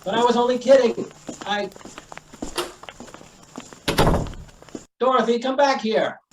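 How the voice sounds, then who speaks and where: A man's voice: extremely high pitch, brisk, echoey room, far from the mic, noisy background. A man playing an exasperated, sarcastic newspaper editor in old-time radio style, blustering through his lines. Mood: sarcastic exasperation. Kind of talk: old-time radio comedy-thriller acting.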